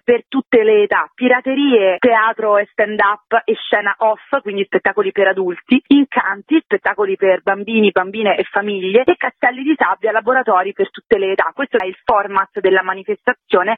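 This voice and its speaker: A woman gives an explanatory, list-filled radio interview as a curator. She comes over a thin telephone line with no room echo. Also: mid-range voice. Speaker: festival curator. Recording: narrow, tinny phone line.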